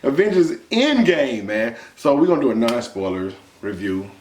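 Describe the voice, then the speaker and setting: A man's voice: mid-range voice, big pitch swings, normal speed, darkish tone. An American man in his thirties giving a casual, colloquial movie review as a vlog co-host, stressing points with short repeated phrases. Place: small indoor room with bare wall. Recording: echoey untreated room.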